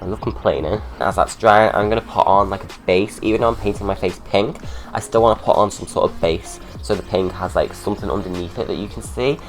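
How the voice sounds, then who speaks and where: A man's voice: lowish voice, fairly quick, soft background music. A young man with a stuffy cold, chatting sarcastically through a drag makeup tutorial at home. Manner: chatty, sarcastic, ranting asides.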